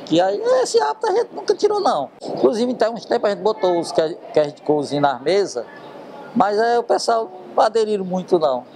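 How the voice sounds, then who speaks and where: A middle-aged man with a northeastern Brazilian accent talks casually in his restaurant, hesitating and filling gaps. His voice is slightly high. Faint restaurant noise sits behind him. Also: big pitch swings, moderate pace, gravelly, a little mellow. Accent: Brazilian Portuguese, Piauí. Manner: hesitant, folksy, many fillers. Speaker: restaurant owner. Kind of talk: casual interview answers. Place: indoor restaurant.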